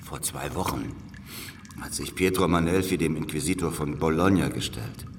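An actor playing a worn, calculating older spy in a German historical radio drama, speaking in a grave, deliberate, conspiratorial way. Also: male voice, extremely low pitch, distant mic. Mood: confiding, deliberate.

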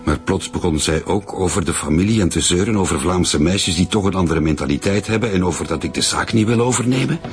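A man's voice: low-pitched, a little fast, few pauses, faint music behind, lightly acted. A young man playing a bereaved, defensive boyfriend in a naturalistic radio drama. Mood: earnest, defensive. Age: young adult.

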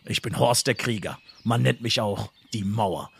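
An actor playing a young gamer who becomes a dim but strong warrior in an amateur comedy audio drama. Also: male voice, on the low side, unhurried, gravelly, fairly bright tone, breathy edge, heavily acted. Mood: puzzled.

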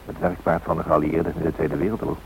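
A man's voice: very deep voice, level tone, fast, creaky, rough recording. An actor playing a wry, knowing male narrator in a radio play, speaking in terse phrases. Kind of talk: scripted radio drama narration. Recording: faint background noise on old recording.

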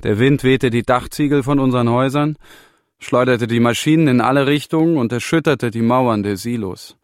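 A man acting as a grim storyteller in a literary radio drama, speaking in a measured, deliberate way. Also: mid-range voice, no echo, studio quality, lightly acted. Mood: grave, measured. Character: grim man telling of economic collapse as 'we'.

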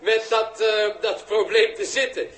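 An actor in a 1960s radio drama playing a brisk, polite host addressing an audience. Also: male voice, high voice, fairly even intonation, fairly bright tone. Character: brisk, polite male host of a live broadcast. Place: hall. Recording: echoey hall with faint noise.

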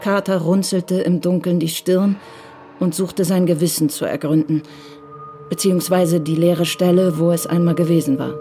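Lowish female crime narrator over music. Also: steady tone, moderate pace, dark tone. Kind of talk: scripted crime drama narration.

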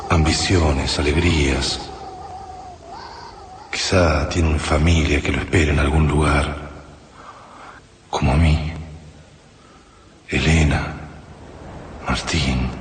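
An actor playing a brooding, weary man's inner monologue in a radio drama, reflective and halting. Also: a bass voice, roomy sound, background noise. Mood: brooding, homesick.

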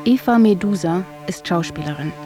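A woman's voice: fairly low pitch, normal speed. Female feature narrator over music. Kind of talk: scripted documentary narration.

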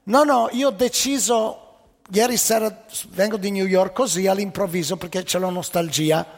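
A stubborn older traveler, as a man puts him on, raised a little in pitch and slow and insistent in broken, halting Italian. The voice is husky and gravelly. It rings out in a big hall with a long tail of reverb. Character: eager older male traveler, stubborn, calm.

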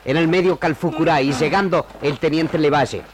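High-voiced male narrator, noisy tape. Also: fairly quick. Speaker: radio drama narrator. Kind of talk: scripted dramatic narration. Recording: noisy old radio archive tape.

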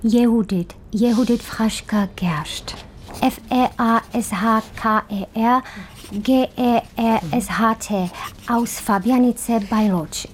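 A woman survivor seeking her lost sisters in a radio play. Her voice sits rather high, and she speaks haltingly yet insistently, anxious to be written down. Slight noise runs underneath. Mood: anxious, hesitant. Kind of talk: radio drama dialogue.

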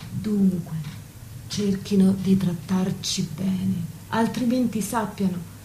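Woman doing a worldly knowing maid in a radio play, mellow voice, deliberate and wry, slightly noisy recording. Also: mid-range voice, fairly even intonation, lightly acted. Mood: knowing, pointed. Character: worldly, wry maid-confidante, defiant about husbands. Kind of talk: stage-play dialogue and monologue.

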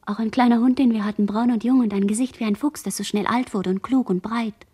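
A woman's voice: a little high, steady tone, fast, mellow, slightly rough. A woman playing a barely conscious shipwrecked girl in a radio play, speaking in dreamy, fearful, fragmentary poetic phrases. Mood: dreamy, drifting. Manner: fragmentary, incantatory, drifting phrases. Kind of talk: radio play monologue, poetic disjointed speech.

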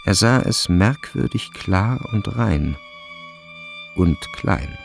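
A man narrating a literary radio play in a measured, brooding, deliberate way, over music. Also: deep voice, expressive, gravelly. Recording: music behind the voice. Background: string music.

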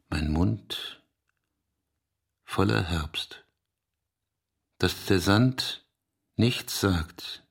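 A restless, gruff poet and evasive lover in a radio drama. His voice is low and husky with a heavy gravelly creak. He speaks in terse, unhurried phrases. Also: exaggerated voice. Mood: brooding, tender. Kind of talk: literary radio drama dialogue and poetry.

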